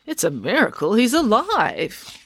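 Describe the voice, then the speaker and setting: A woman voicing a very old, deaf male country doctor who talks slowly and kindly. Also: fairly high pitch, huge pitch swings, a bit bright, slightly rough, no room sound, voice pushed up, full character voice. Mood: doddering. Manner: slow, kindly, doddering.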